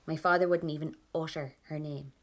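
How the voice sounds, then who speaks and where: A woman's voice: deep voice, steady tone, brisk, fairly bright tone. An actress playing a grieving, stubborn Irish woman from a 1920s rebel family, telling her story plainly and with restraint, in an Irish accent. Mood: bitter. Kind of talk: first-person audio drama monologue.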